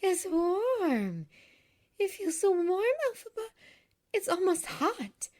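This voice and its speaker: A woman voicing an excitable young woman in a story, breathless and exclaiming with joy. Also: very high voice, slow pace, pitched above the performer's own voice, exaggerated voice. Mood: overjoyed, tearful. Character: excitable young woman overjoyed at first steps.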